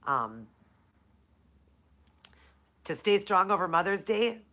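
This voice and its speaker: A woman's voice: lowish voice, sing-song, slow pace, sharp tone. A woman from Minnesota hosting a casual live Q&A, chatting with viewers by name, her nose stuffed up from allergies. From Minnesota, USA. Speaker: live-stream host.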